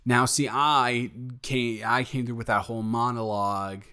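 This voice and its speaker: A man playing a smitten, playful young roommate in an improvised romantic audio roleplay, talking in a halting, self-correcting, jokey ramble. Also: mid-range voice, steady tone, sharp tone, clear, resonant tone, natural voice. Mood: earnest, teasing.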